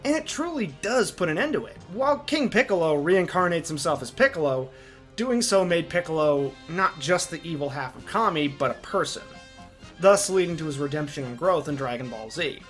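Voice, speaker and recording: A man's voice: a little high, expressive, normal speed, fairly bright tone, faint music behind. A man hosting a video essay, giving opinionated commentary in an emphatic, enthusiastic fan's delivery.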